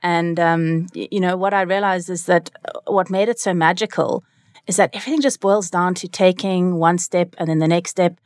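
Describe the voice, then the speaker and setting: A woman with a South African accent speaks as an interview guest in a conversational storytelling style. Her pitch is on the low side and her manner is reflective and articulate. The studio recording is very dry. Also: fairly even intonation, normal speed, no echo. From Cape Town, South Africa. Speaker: interview guest, leadership consultant.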